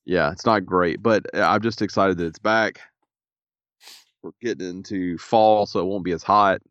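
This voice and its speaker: A man, co-hosting a podcast in casual chat, a little stuffy and raspy, laid-back with dry humor. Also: deep voice, steady tone, moderate pace, a bit bright, no echo, muffled recording. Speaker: podcast co-host.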